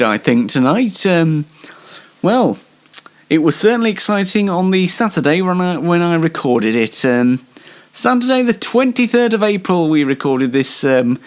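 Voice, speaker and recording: A man hosting an amateur radio show in a casual, rambling way, hesitant and wryly self-deprecating. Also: a little high.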